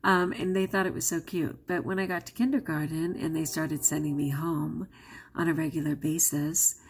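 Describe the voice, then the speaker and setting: Woman vlogger, non-native English speaker, chatty and candid, gravelly fry in the voice. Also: low voice, fairly even intonation, moderate pace. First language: not English. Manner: candid and chatty, with frequent laughs. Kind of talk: casual vlog talk to camera.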